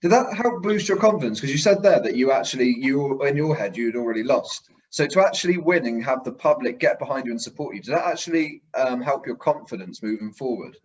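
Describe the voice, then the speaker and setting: A man's voice: medium pitch, expressive, fairly quick, hardly any pauses, highs cut off. A man hosting a casual interview, asking long, layered questions. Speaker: interviewer, show host.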